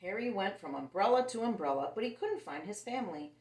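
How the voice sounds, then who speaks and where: A woman reading a picture book aloud to young children in an animated, expressive way. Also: mid-range voice, a little fast, distant mic. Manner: animated and expressive, voicing characters. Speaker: children's story reader. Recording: roomy sound, set back from the mic.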